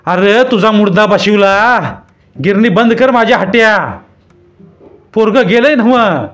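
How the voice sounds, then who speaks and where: A man's voice: high-pitched, animated, voice pushed up. A man voicing a fierce, scolding old village woman. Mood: angry scolding. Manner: scolding, drawn-out shouting.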